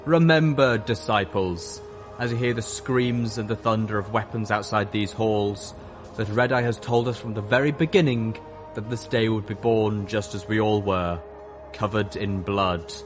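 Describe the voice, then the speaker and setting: Brief: male reader playing a zealous mare preacher of a pony cult, loud, higher-pitched, sermonizing, music bed underneath. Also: moderate pace, natural voice. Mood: fervent. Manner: sermonizing, declaiming.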